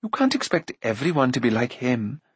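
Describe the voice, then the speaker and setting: A man voicing a spirited young princess with tart, teasing retorts, pitched higher than his own voice. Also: big pitch swings, brisk, breathy edge, no echo.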